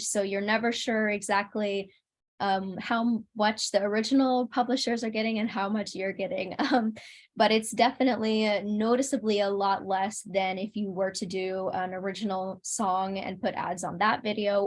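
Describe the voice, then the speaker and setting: A young adult woman speaking as an interview guest, explaining things casually in orderly, articulate sentences. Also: medium pitch. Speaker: interview guest, singer and video creator.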